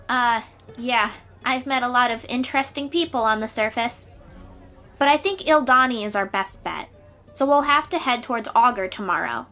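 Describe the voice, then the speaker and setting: A woman's voice: sits high, fairly bright tone. A woman playing a confident, eager young sea-dweller warrior in a fantasy audio roleplay, speaking expressively and informally to the listener. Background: music.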